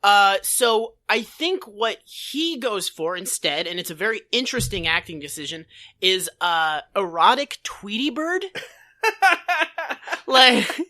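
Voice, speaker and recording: A woman's voice: medium pitch, sing-song, measured pace, pushed and bright. A woman co-hosting a podcast, chatting casually and comedically with an irreverent, sweary, exclamatory way of talking. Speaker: podcast co-host. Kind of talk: casual comedic chat about an old TV show.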